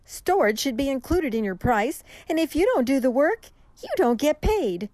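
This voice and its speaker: A woman's voice: high voice, very animated, moderate pace, a little mellow, no echo. A woman playing a haughty, penny-pinching customer, curt and scolding, in a radio mystery drama. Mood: indignant.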